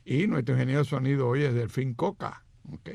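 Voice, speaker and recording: A man's voice: medium pitch, fairly quick, creaky, a little mellow, no room sound. A man co-hosting a sports radio show, chatting casually with jokes and rambling anecdotes.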